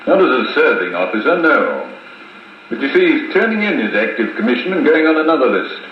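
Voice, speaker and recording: An actor playing a dry, gruff colonel with a clipped, understated manner in a 1950s radio drama. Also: male voice, mid-range voice, big pitch swings, a little fast, natural voice. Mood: dry, reserved. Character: dry, gruff British colonel, reserved old-school officer. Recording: muffled, echoey 1950s wire recording with hiss.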